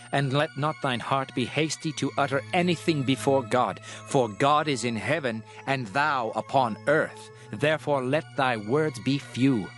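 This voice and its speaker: A man narrates a dramatized Bible reading with grave, measured declamation. His voice sits at an average male pitch. Music plays prominently underneath the narration. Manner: solemn, measured, declamatory delivery. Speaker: scripture narrator. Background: background music.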